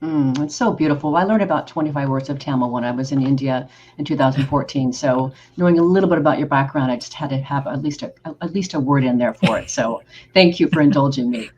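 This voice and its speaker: A woman's voice: very deep voice, fast. Effusive woman hosting a podcast interview. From Oregon, United States. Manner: enthusiastic, effusive and warm in her questions. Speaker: podcast host. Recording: slightly dull recording with faint background noise.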